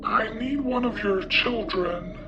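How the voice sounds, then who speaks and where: Man putting on a cold, slow, menacing old woman. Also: sits high, highs cut off, voice pushed up. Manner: coldly insistent, slow, deliberate delivery. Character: cold, menacing woman in her sixties, stalking a family. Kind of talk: horror story character voice. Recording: music behind the voice.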